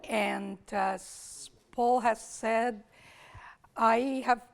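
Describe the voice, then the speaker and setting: A woman with a Spanish accent, hoarse today, giving an academic lecture at a summer school, thinking aloud with restarts and repeated words. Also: fairly high pitch, steady tone, drawn-out words. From Spain.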